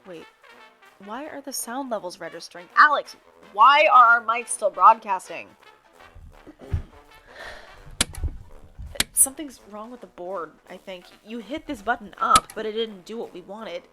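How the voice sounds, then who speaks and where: A woman's voice: on the high side, lively intonation, normal speed, over music, close to an everyday voice. An actress playing an outspoken, indignant woman who co-hosts a town radio show in an audio drama, speaking with exasperated, sarcastic bite. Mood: impatient, bossy. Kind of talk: audio drama acting as conversational radio banter.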